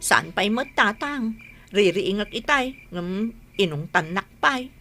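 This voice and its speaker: An actress playing an inquisitive, chiding daughter of a village household in a comedy radio drama. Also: female voice, medium pitch, expressive, slow, pushed and bright, faint music behind, heavily acted. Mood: curious.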